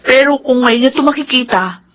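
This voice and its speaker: Casting: discreet, soothing young Filipino elder sister, higher voice, confiding, radio drama. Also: female voice, a little fast, a bit bright, slight background noise. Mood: confiding, placating. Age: young adult. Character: discreet, placating eldest sister, a young student.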